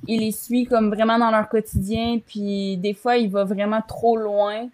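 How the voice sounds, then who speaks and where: A woman's voice: mid-range voice, level tone, unhurried, darkish tone. A woman in her twenties with a Québécois French accent, chatting casually on a video call from a room at home. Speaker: talk show panelist. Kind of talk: casual chat. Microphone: computer mic on a video call.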